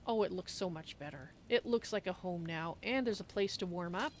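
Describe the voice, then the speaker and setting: A woman's voice: fairly low pitch, moderate pace, a little hiss or hum. A woman playfully musing aloud as she narrates her video game roleplay in character.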